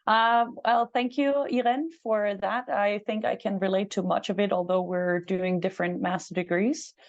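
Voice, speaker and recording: A woman's voice: medium pitch, fairly even intonation, moderate pace. A woman from Denmark, a master's student, talking fluently and friendly about her studies. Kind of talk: casual webinar talk. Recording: dull lo-fi call audio.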